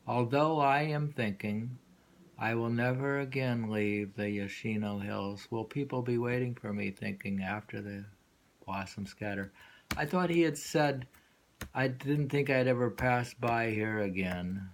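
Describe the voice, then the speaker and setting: A man reading poetry aloud and discussing it informally, in a musing, halting way that lingers and repeats lines. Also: medium pitch, a little hiss or hum. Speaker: poetry reader and host.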